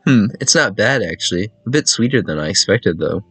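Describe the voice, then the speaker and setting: A man's voice: fairly low pitch, lively intonation, fairly quick, a bit bright, breathy edge, no echo, exaggerated voice. A coy female entity from a dating game, voiced by a man teases with flirty, faintly menacing lines. The delivery is recorded dry, with music underneath. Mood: teasing, ominous. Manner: coy, teasing. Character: flirty, ominous female entity with a sweet tooth.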